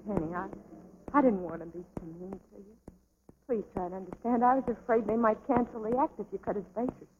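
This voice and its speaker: An actress playing a tender but firm young stage dancer in an old film melodrama. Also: female voice, medium pitch, brisk, narrow, tinny audio, a little hiss or hum, lightly acted.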